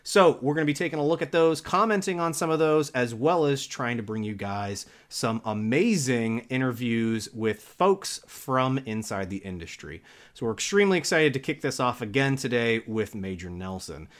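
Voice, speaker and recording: A man's voice: mid-range voice, expressive, moderate pace. A man hosting a casual gaming podcast, upbeat and enthusiastic, a dad in his thirties. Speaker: podcast host.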